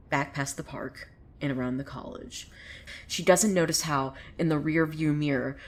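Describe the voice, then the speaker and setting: Actress doing a sardonic lady narrator, low voice, gossipy and opinionated, fiction podcast storytelling. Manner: sardonic, conversational, swearing asides. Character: opinionated, sardonic female storyteller who gossips about townsfolk. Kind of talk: dramatic first-person storytelling.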